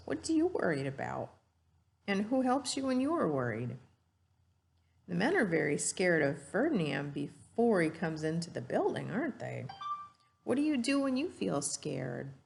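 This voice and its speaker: A woman's voice: low voice, sing-song, normal speed, gravelly. A woman reading a storybook aloud and teaching children, explaining simply and putting questions to her young listeners.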